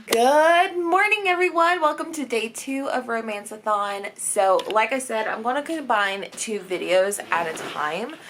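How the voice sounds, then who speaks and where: A young woman talks casually to her viewers in a homemade vlog. Her voice sits at an average pitch, and her delivery is informal and conversational. The recording is made indoors at home, with noticeable ambient noise behind her. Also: creaky, fairly bright tone. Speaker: book vlogger. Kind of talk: casual vlog chat about reading.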